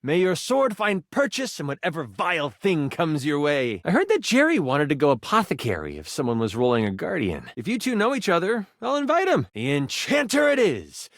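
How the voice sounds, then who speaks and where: An actor playing an excitable, earnest living twenty-sided die who runs fantasy tabletop games with playful, theatrical storytelling. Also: male voice, on the high side, moderate pace, hardly any pauses, no echo, studio quality. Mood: excited, welcoming. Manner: expressive, playful, shifting into grand storyteller phrasing. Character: excitable, earnest twenty-sided die come to life, eager game master. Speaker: tabletop game master. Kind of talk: theatrical fantasy game narration.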